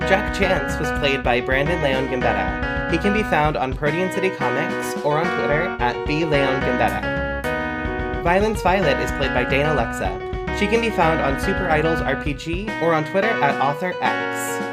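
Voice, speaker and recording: A podcast announcer reading scripted credits in a measured, clearly enunciated way. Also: medium pitch. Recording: loud music under the voice. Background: guitar music.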